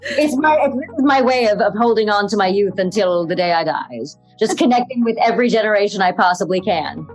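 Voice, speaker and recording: A woman's voice: mid-range voice, lively intonation, fairly quick, soft background music, poor audio. A woman actress chatting as a podcast guest, playful and self-mocking. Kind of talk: casual interview chat.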